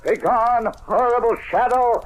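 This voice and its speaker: A man's voice: very high-pitched, measured pace. An actor playing a tormented, ruthless usurper king in a theatrical, declamatory old radio drama style. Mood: horrified, raging. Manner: theatrical, declamatory, swinging from hushed brooding to cries. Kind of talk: classic radio drama acting. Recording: noisy old radio recording.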